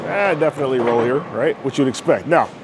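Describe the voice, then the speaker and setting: A man's voice: medium pitch, expressive, fast, very noisy. A man giving casual, explanatory commentary on a bowling ball test in a bowling alley, often checking in with "right?". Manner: explanatory, many fillers and "right?" asides. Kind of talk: casual product demo commentary.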